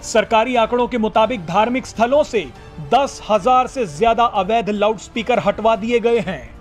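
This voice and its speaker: A man narrates a scripted news report. His voice is pitched unusually high for a man, and faint music plays beneath his narration. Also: moderate pace.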